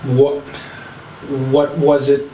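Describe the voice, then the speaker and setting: A man's voice: medium pitch, completely flat delivery, extremely slow, mellow, reverberant, distant mic, highs cut off, slight background noise. A man interviewing a guest, asking brief questions and adding short prompts. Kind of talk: casual interview.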